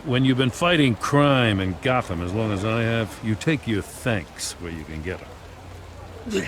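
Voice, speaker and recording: An actor playing a middle-aged veteran police lieutenant with an American accent, gruff, plain-spoken, world-weary and dogged, in a video game. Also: male voice, fairly low pitch, very animated, unhurried, noisy background. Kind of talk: video game drama acting.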